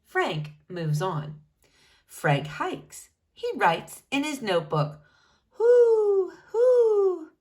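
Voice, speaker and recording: Slow, very animated woman reading to children. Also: lowish voice. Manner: deliberate, expressive, addressing young listeners. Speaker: storybook reader for children. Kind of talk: children's read-aloud narration.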